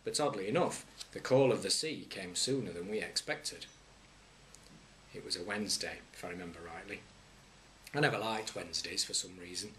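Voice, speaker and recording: A man, a head teacher, reading a children's story aloud with wry humour and lively swings in pitch. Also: normal speed, a little hiss or hum, low-quality recording.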